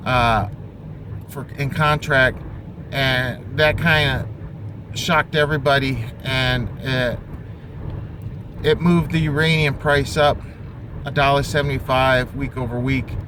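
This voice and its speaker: A man giving an unscripted investing opinion in a halting, thinking-aloud way, as an amateur commentator. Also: mid-range voice. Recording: clearly noisy, vehicle and outdoor-like background.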